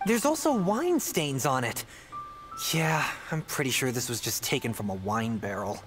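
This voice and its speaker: Casting: male actor as earnest young game adventurer, swooping expressive delivery, slightly distant, loud music behind. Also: medium pitch, normal speed, natural voice. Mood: doubtful. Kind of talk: video game voice acting.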